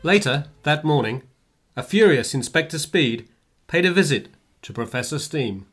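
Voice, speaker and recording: A man's voice: a little high, expressive, moderate pace, a bit bright. A man narrating a scripted radio drama. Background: music.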